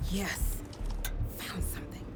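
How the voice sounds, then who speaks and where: A woman's voice: takes it slowly, lightly acted. An actress playing a wry, informal female analyst on a covert team in a video game cutscene. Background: cinematic music.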